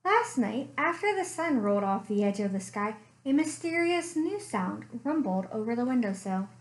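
A young woman reading a picture book aloud for children, slow and expressive with playful sound effects, recorded on a webcam in a small room at home. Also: a little high. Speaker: children's librarian. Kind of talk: storytime read-aloud.

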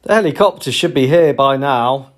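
A man giving comic scripted narration as a storyteller, in a deadpan, measured manner. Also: mid-range voice.

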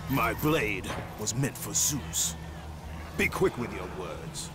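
A man playing a vengeful, brutal Spartan warrior in a video game, gravelly, terse and commanding. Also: fairly low pitch, lively intonation, takes it slowly, background noise. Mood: grim contempt. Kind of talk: dramatic video game acting. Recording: loud music behind the voice. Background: loud game music.

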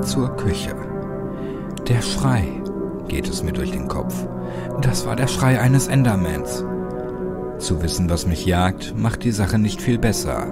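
Male horror audiobook narrator, lowish voice, suspenseful and measured, with prominent music underneath. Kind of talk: dramatic scripted audiobook narration of a horror story. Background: loud music under the voice.

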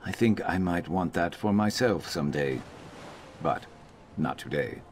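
A man playing a wry, gruff reptilian adventurer companion in a video game, with a gravelly, dry, deadpan grumble. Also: deep voice, measured pace, slight background noise. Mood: reflective, loyal.

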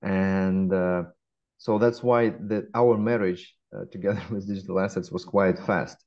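A man in his forties with a Russian accent, answering interview questions in a measured way with frequent hesitations, heard over a webcam call. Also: sits low, steady tone, darkish tone. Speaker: guest, fintech founder. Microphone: laptop webcam. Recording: dull lo-fi call audio.

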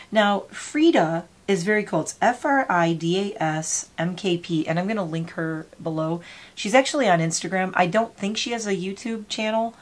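A woman in her forties, sniffly, chatting casually to her viewers while doing her makeup at home. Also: lowish voice. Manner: chatty, rambling, talks while applying makeup. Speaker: makeup vlogger. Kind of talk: casual get-ready-with-me chat. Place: small home room with gaming chair.

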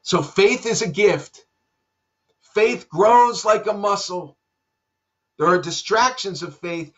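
A middle-aged man preaching a sermon at his desk at home, his voice pitched high for a man. He speaks earnestly and sinks into hushed low tones to stress a point. The webcam sound is dull and lo-fi. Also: takes it slowly. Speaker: pastor. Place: home room with bookshelves.

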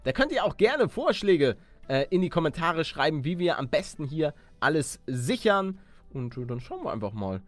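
Lively, animated man doing gameplay commentary. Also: on the high side, moderate pace. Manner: slangy, chatty, exclaiming. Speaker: let's player. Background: background music.

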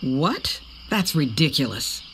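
A performer playing a weary, reluctant pirate recruit in a video game, deadpan and grudging. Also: medium pitch, slow, noisy background. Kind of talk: video game character acting.

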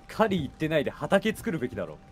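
Male streamer, mid pitch with big swings, blunt slangy casual gaming talk over soft game music. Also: huge pitch swings, fast talker. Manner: blunt slangy short reactions.

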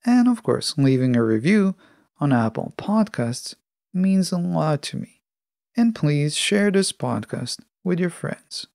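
A podcast host giving a scripted solo talk in a measured, instructive manner. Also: medium pitch, very animated, creaky, a little mellow, dry recording, clean studio sound.

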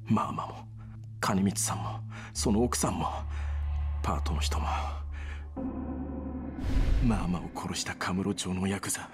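A man's voice: deep voice, a little slow, pauses often, music in the background. An actor playing a bitter, wounded young male gang leader in a Japanese game drama, brooding and intense. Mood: grim, sorrowful. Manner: intense, brooding, breaking into anguished shouting. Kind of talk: Japanese video game cutscene acting.

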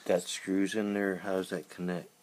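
Deep voiced guy just chatting about gear, noisy workshop recording. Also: little pitch movement, moderate pace. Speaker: fellow radio hobbyist. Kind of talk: casual chat. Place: cluttered indoor radio workshop. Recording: noisy room, handheld camera recording.

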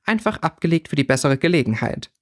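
A man's voice: a little high, animated, quick pace, no echo, studio quality, pitched above the performer's own voice, natural voice. A man voicing a caring, decisive young schoolgirl with a firm manner, in an audiobook. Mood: musing. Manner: firm, bossy but caring.

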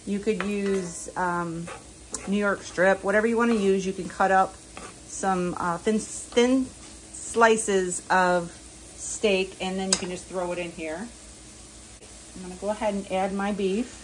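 A woman's voice: medium pitch, fairly even intonation, measured pace, a little hiss or hum, rough recording. An older woman and home cooking vlogger, chatting casually and explaining each step plainly with small fillers in her kitchen.

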